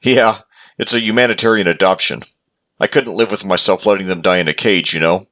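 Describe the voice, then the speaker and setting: Gentle, lowish-voiced pet owner played by a man. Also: brisk. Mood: apologetic. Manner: gentle, reassuring, casual. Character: kind, patient male pet owner.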